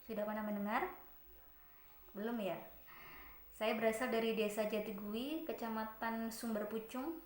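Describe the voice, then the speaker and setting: A woman in her twenties from Malang, giving a friendly, unhurried introductory lecture and asking her students questions. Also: on the high side, steady tone, roomy sound. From Malang, East Java, Indonesia. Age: 20s.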